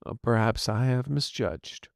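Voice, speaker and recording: A man voicing an aging wizard villain in a fantasy story, speaking formally with proud, measured scorn. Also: mid-range voice, fairly even intonation, vocal fry, no room sound, studio quality. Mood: stern, proud.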